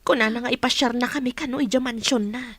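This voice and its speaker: A woman's voice: fairly high pitch, fairly even intonation, quick pace, a bit bright, no room sound. A woman playing a hesitant, guarded young mother in an audio drama.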